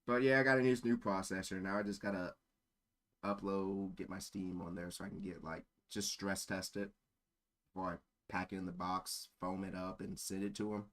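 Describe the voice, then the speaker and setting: Low-voiced man, rambling casually. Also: flat delivery, moderate pace, fairly bright tone. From born in Germany. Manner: rambling, easily sidetracked. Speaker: live streamer. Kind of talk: casual stream chat.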